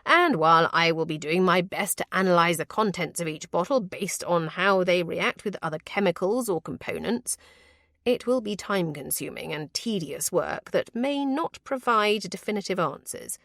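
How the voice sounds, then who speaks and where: A woman's voice: fairly low pitch, expressive, normal speed, fairly bright tone, no echo, studio quality. A woman voicing an earnest, exacting male physician in an audiobook, speaking formally and precisely, with scorn for quackery. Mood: earnest, measured. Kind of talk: audiobook character voice.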